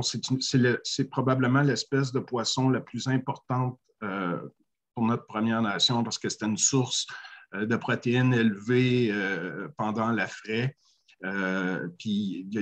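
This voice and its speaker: A man in his forties with a Quebec French accent, speaking slowly on a video call panel with many pauses. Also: fairly low pitch. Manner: measured, many hesitant "euh" pauses. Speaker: First Nation panelist. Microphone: webcam on a video call.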